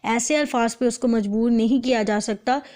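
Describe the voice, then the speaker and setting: A woman's voice: on the high side, moderate pace, a little mellow. A woman with a Pakistani accent lecturing in an instructional way, explaining step by step and restating each point. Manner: instructional, repeats and rephrases points. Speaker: law lecturer. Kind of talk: explanatory lecture, reading clauses and translating them phrase by phrase.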